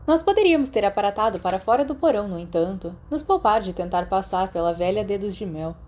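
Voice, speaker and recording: A woman reading the part of a cheerful, boastful teenage boy wizard in an audiobook, with animated delivery. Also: mid-range voice, quick pace, close to an everyday voice. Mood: confident. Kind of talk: audiobook character dialogue.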